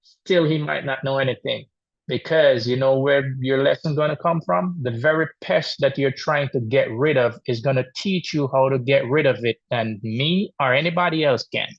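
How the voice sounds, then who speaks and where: A man's voice: mid-range voice, moderate pace, gravelly. A man from Jamaica with a Jamaican accent, speaking plainly and practically about his trade to a church group. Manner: plain-spoken and practical, with many restarts. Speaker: guest speaker, exterminator. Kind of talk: informal talk to a church group. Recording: dull, lo-fi audio.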